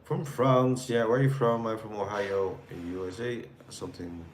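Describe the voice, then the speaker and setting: A man from the Netherlands with a Dutch accent, chatting casually with viewers on a livestream in a relaxed, rambling way while reading their comments. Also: fairly low pitch, moderate pace, reverberant, slight background noise. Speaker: livestream host. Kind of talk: casual live chat answering viewers.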